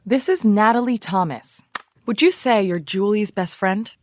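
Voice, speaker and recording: A woman's voice: mid-range voice, a little slow, a little hiss or hum, close to an everyday voice. A woman playing a self-important true-crime podcast host, earnest and easily irked, with a polished, dramatic delivery. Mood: earnest, probing. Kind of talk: scripted true-crime podcast narration and interviewing.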